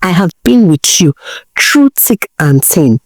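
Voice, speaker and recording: A woman's voice: lowish voice, very animated, takes it slowly, no echo, full character voice. A woman storyteller voicing a betrayed, defiant wife with a Nigerian accent, scolding emphatically. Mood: defiant, indignant.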